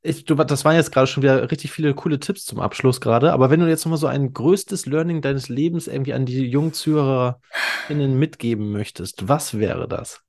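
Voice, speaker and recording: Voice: medium pitch, brisk, darkish tone. A podcast host chatting casually and informally, with slangy turns and English catchphrases thrown in.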